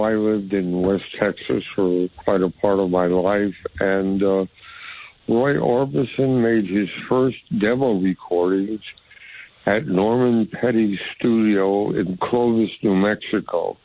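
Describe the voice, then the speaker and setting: A male caller to a radio show, telling a story slowly and hesitantly with many fillers over the phone. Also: fairly low pitch, no echo. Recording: tinny phone line.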